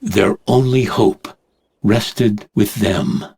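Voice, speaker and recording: A man narrating a game cutscene as a grave, measured teller of world events. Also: lowish voice, vocal fry, a little mellow, slightly rough, heavily acted. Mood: solemn. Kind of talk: scripted dramatic narration.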